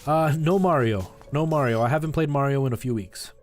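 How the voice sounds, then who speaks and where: A man hosts a live game stream in an informal, conversational way. His voice sits at an average male pitch, and he rambles through tips and asides for his viewers. Music plays behind him. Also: a little fast. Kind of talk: casual live stream chat while playing a retro game, giving tips to chat.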